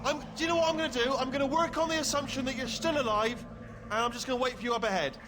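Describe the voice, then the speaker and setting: A man's voice: very high-pitched, fast talker, over music. An actor voicing a nervous, bumbling male robot sphere who rambles and restarts his sentences constantly. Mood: chatty. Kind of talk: video game voice acting.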